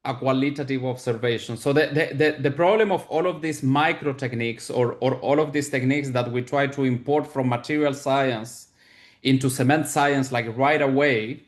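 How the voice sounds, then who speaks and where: A man from Chile with a Chilean Spanish accent, a native Spanish speaker, answers technical questions as a researcher in a deliberate, explanatory way, often repeating words as he searches for phrasing. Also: medium pitch, steady tone.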